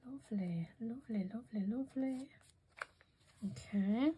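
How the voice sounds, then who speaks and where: A hoarse woman demonstrating a craft project in casual tutorial style, softly thinking aloud and drifting into whispered asides. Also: on the high side, moderate pace, a little hiss or hum. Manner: soft-spoken, musing, drifts into whispered asides.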